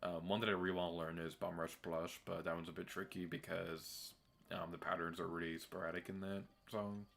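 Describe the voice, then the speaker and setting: A young man with a deep voice giving chatty, self-deprecating commentary while streaming a game. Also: flat delivery, fairly quick, gravelly, a bit bright. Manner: chatty and self-deprecating, with filler words and laughs. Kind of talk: casual gameplay commentary.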